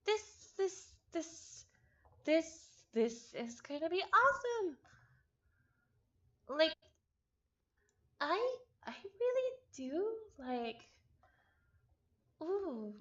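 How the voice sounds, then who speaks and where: A woman livestreaming a visual novel, chatting casually and reading game text aloud in an excitable, halting way, often repeating phrases. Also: very high-pitched. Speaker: streamer playing a visual novel. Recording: dull lo-fi stream audio.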